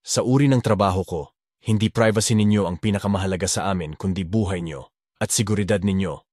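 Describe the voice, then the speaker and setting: A woman voicing a stern young male bodyguard who answers in a flat, clipped, matter-of-fact way. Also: rumbling low, fast talker, dry recording, studio quality, pitched below the performer's own voice. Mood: curt.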